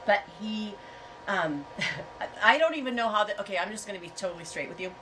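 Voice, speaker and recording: A woman's voice: medium pitch, animated, a little fast, a bit bright. Woman vlogger, stuffy head cold, rambling chatty storyteller, mic a bit distant, faint background noise. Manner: chatty, rambling, laughs often. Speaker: yarn and fiber farm vlogger. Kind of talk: casual storytelling to viewers. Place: home on a farm.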